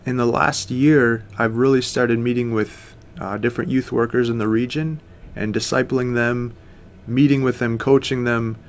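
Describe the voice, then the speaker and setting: A man's voice: medium pitch, steady tone, normal speed, few pauses, slight background noise. An American man in his thirties, a missionary guest, talking earnestly and steadily in a casual interview, with frequent fillers. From United States.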